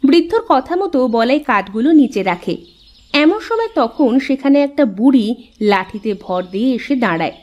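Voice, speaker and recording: A woman's voice: high voice, very animated, normal speed, a little mellow, muffled recording. A woman narrator reading a scripted cartoon story.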